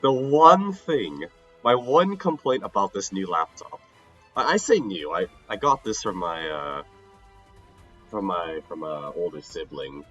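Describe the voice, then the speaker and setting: A man's voice: low-pitched, big pitch swings, a little slow, sharp tone, husky, muffled recording, faint music behind. A young man chatting casually on a livestream as he plays a game. He is a little sick and tired, his voice slightly nasal, and he rambles and restarts his sentences often. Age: young adult. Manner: rambling, self-interrupting, laughs often. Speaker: streamer. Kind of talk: casual livestream chat while gaming.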